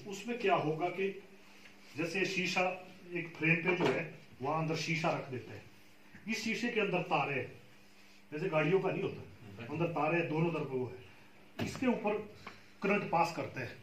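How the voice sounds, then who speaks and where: A man's voice: on the high side, expressive, normal speed, long pauses, fairly bright tone, a little hiss or hum. Man teaching from across a room. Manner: patient, explanatory, often checks with "ठीक है जी". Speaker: beekeeping trainer. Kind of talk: explaining to a group of farmers. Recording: echoey room, far from the mic.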